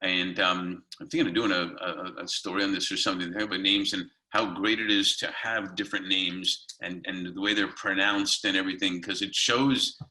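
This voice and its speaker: A man's voice: low-pitched, fairly even intonation, normal speed, hardly any pauses, bright, forceful tone, low-quality recording. A middle-aged man hosting an interview over a video call from home, hesitant with many ums and repeats. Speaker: interview host. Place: home room.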